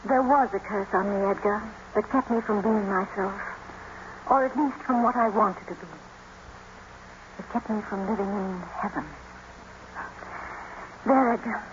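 A woman's voice: medium pitch, a little slow, long pauses, natural voice. Casting: actress for impassioned young lady of the manor, haunted and earnest, mid-century radio drama, dull noisy broadcast sound. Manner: theatrical, intense. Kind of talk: 1940s-50s radio drama acting.

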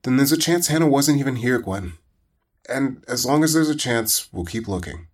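A man's voice: mid-range voice, lively intonation, moderate pace, close to an everyday voice. A man playing an anxious, rule-abiding young adventurer with a fretful manner in an audio drama. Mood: concerned. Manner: fretful, exasperated.

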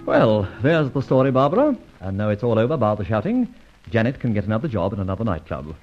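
An actor playing a suave gentleman adventurer and secret vigilante in an old radio serial, with an urbane, wry, formally polite manner. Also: on the low side, sing-song, fast talker, soft background music. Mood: satisfied. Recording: dull, lo-fi old radio recording.

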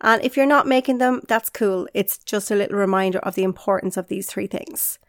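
A woman's voice: mid-range voice, brisk, no echo, studio quality. A woman, hosting a solo podcast in an upbeat, encouraging way, slightly congested and nasal from being unwell. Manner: upbeat, encouraging, direct to listener. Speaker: podcast host and leadership coach.

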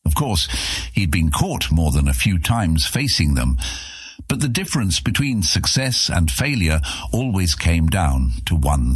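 A man's voice: sits low, husky, dry recording. A man narrating an epic fantasy adventure serial as a scripted audiobook.

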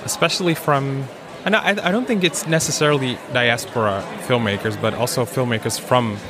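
A man moderating an informal panel discussion, searching for his words with frequent pauses. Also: mid-range voice, over music. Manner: hesitant, searching, pausing often.

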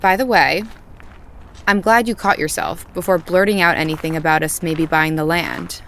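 An actress playing a calm, self-assured young woman raised on a family farm. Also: low voice, moderate pace, a little hiss or hum. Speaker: farmer's daughter. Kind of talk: audio drama acting. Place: outdoors on a farm at night.

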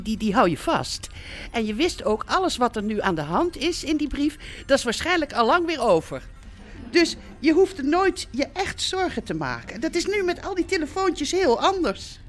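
A woman's voice: high voice, very animated, normal speed. An actress playing a cosy, chatty older mother in a radio play, rambling and hesitant as she soothes her grown children. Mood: cheerful, soothing. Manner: hesitant, rambling, repeating herself. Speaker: actor in an audio drama. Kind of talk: naturalistic radio play acting.

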